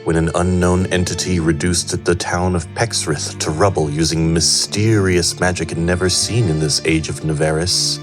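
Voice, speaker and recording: A man playing a solemn storyteller, narrating a fantasy drama in a measured, portentous manner over music. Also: low-pitched, steady tone, lightly acted. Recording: prominent music under the voice.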